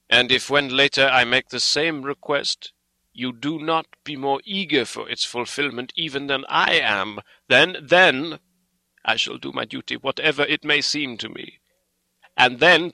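A man performs an old foreign doctor from a storybook, solemn and earnest. The delivery is very slow and emphatic, breaking into halting phrases, with a piercing, forceful tone in a dry, close recording. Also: mid-range voice, no echo, voice pushed up, poor audio. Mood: stern, solemn. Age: older. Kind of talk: dramatic audiobook character reading.

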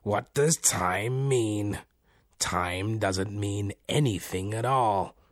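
A man's voice: fairly low pitch, drawn-out words, no echo, clean studio sound. A man voicing a scornful, teasing bodiless spirit who fires off riddling questions in a storybook. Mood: harsh, mocking. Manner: scoffing, teasing, rhetorical questions. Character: mocking, laughing, bodiless male voice, scornful riddler.

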